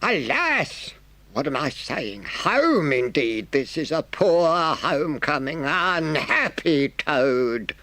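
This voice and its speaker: Grand gloomy badger, very slow, swooping pitch, declamatory, storybook. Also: male voice, big pitch swings, sharp tone, dry recording, heavily acted. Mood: mournful, solemn. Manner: ponderous, lamenting, declamatory. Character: solemn, mournful, grand male badger.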